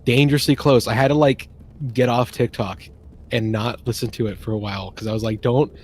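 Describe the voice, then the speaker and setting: A man's voice: medium pitch, fairly even intonation, brisk. A man chatting casually on a stream, rambling enthusiastically and often trailing off and restarting.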